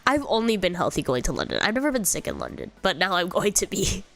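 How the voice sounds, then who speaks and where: A woman's voice: lowish voice, wildly swooping pitch, fast, vocal fry, fairly bright tone. A young woman streamer with a congested, slightly sick voice, chatting casually and rambling through comments with lots of fillers and giggles. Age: young adult. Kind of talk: casual chat reading viewer comments.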